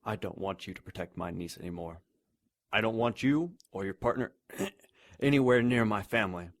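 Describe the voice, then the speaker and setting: An actor playing a haughty, scheming, dying old man in an audio drama, formal and indignant, often clearing his throat. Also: fairly low pitch, normal speed, natural voice. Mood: indignant, angry. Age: older. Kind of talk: audio drama villain acting.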